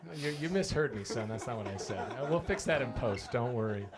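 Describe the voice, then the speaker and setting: A man's voice: medium pitch, animated, brisk, music in the background. A man hosting a casual interview, asking joking questions in a rambling way with false starts. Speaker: host.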